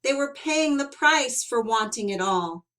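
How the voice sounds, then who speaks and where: A woman reading a children's picture book aloud as an expressive storyteller, with dramatic emphasis and drawn-out words. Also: fairly high pitch.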